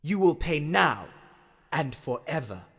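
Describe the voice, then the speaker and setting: A man voicing a cold, vengeful goddess in a slow, commanding manner. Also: a little high, big pitch swings, a bit bright, slightly rough, in a large hall, heavily acted.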